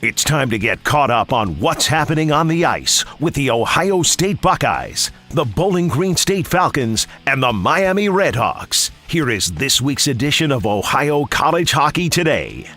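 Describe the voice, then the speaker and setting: A man's voice: mid-range voice, very animated, measured pace, few pauses, sharp tone, faint music behind. A man reading a scripted introduction to a radio sports segment as its announcer.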